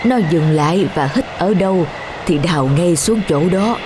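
A woman voicing a frail, wise old father in a cartoon folktale, giving counsel gently. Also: on the low side, animated, moderate pace, background noise, close to an everyday voice. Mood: patient, advising.

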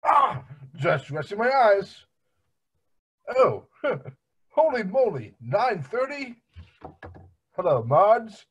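A man's voice: high-pitched, very animated, slow, pauses often, slightly rough, full character voice. A man voicing a dim, amiable family man, bumbling and rambling, in an amateur cartoon table read. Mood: flustered. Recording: muffled, lo-fi video call.

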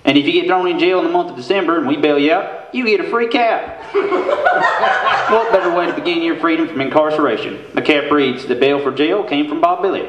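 Folksy huckster pitchman played by a man, echoey. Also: expressive, a little fast, lo-fi sound, noisy background, natural voice. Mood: hucksterish. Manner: folksy, emphatic salesman. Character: hucksterish bail bondsman radio pitchman, man. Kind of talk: spoof radio commercial. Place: theater stage with stand microphone. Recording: echoey stage hall.

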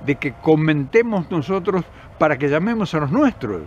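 A man's voice: on the high side, lively intonation, normal speed, a little mellow, highs cut off, slight background noise. An older man with an Argentine accent talking straight to camera outdoors, earnest and emphatic, often restarting and repeating words. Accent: Argentine Spanish. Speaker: political commentator. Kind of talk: impassioned direct-to-camera commentary. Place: outdoors in countryside by forest path. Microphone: camera at close range.

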